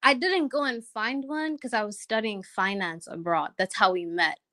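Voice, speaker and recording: A woman's voice: mid-range voice, moderate pace, pushed and bright. Chatty Kenyan woman caller. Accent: Kenyan, sounding American to listeners. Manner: chatty, frequent ums, emphatic asides. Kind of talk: casual call-in chat.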